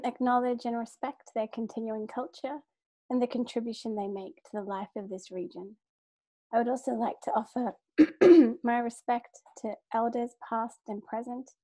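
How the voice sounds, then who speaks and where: A woman from Sri Lanka giving a measured, formal academic lecture from home over a video call, with brief fillers and restarts. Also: on the high side, mellow, dry recording. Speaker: keynote lecturer, art historian. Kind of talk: academic lecture read from a script. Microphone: computer mic on a video call.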